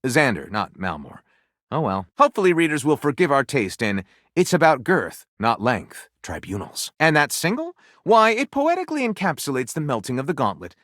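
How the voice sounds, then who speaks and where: A glib, theatrical would-be author from a video game, telling pulp tales with mock grandeur. The voice sits a little high and swings widely in pitch, wry and comic. The sound is dry and close. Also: male voice, fairly bright tone, no room sound. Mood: dry, resigned. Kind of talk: scripted game dialogue, comic narration.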